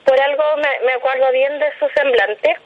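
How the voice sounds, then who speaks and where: Chilean lady telling her own story in an interview, kinda high voice, muffled lo-fi audio. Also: steady tone, normal speed. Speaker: interviewed hospital night-shift worker. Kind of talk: recounting a personal experience in an interview. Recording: dull, lo-fi recorded interview.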